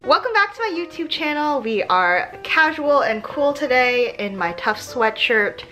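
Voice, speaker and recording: A young American woman hosts a casual vlog over background music. Her voice sits fairly high and swings widely in pitch. She is chatty and self-mocking, leaning on words with playful dramatic emphasis. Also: sing-song, a little slow, poor audio. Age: 20s. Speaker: YouTube host, dental student. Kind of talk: casual vlog commentary with tips.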